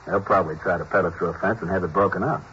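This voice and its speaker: An actor playing a steady, businesslike police detective partner in a 1950s radio drama. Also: male voice, low-pitched. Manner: brisk, businesslike reporting. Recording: muffled old radio broadcast with hiss.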